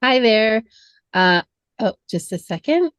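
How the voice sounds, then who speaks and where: A woman on a video call asking grant questions for a theater group, halting, with fillers and self-corrections. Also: on the high side, lively intonation, clean tone, no echo, clean studio sound.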